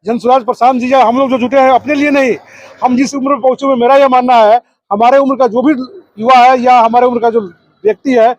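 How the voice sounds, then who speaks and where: A man's voice: extremely high pitch, fairly even intonation, a little fast, dry recording, lo-fi sound. A man answering as a political activist, oratorical, building his points in repeated phrases and lists. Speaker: political activist, district committee member.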